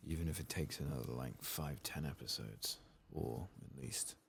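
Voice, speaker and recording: A man doing soft-spoken, whispery ASMR game commentary, murmuring unhurriedly to himself as he plays. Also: a bass voice, moderate pace, creaky. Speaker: streamer playing a fantasy role-playing game. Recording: faint background noise.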